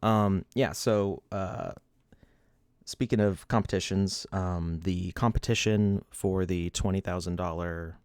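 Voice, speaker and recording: Low-voiced man chatting on a livestream. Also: dry recording, studio quality. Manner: rambling, laughs often, restarts, reads chat. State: sniffly. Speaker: livestream host. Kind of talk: casual livestream chat.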